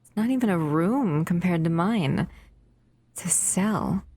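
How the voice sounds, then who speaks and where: Breathy, unhurried doting monster played by a woman, soft and whispery; low-set female voice, possessive and sweet. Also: animated. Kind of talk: audio roleplay.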